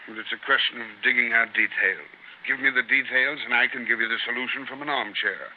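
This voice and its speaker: Fretful, pompous government man acted by a male, mid-pitched, grave and fussy, narrow vintage radio drama sound. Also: a little fast, close to an everyday voice. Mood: self-satisfied. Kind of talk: 1930s radio drama acting. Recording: tinny, noisy 1930s radio recording.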